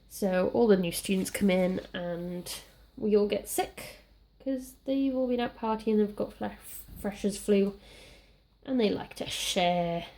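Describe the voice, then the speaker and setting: Welsh woman, young, slightly croaky from a cold, chatty and rambling, warm gravelly tone. Casual crafting vlog. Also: medium pitch. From Wales. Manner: chatty, rambling, self-deprecating. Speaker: scrapbooking crafter.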